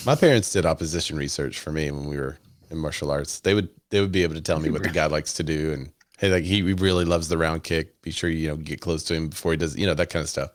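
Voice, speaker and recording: A man's voice: a bass voice, steady tone, quick pace, no echo, clean studio sound. A man co-hosting a podcast in casual, conversational chat. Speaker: podcast co-host.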